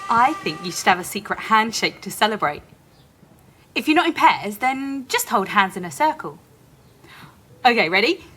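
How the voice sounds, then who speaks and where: A woman playing an excitable, playful companion who guides listeners through an interactive audio play with encouragement. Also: mid-range voice, moderate pace, pauses often, slight background noise. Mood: playful, encouraging.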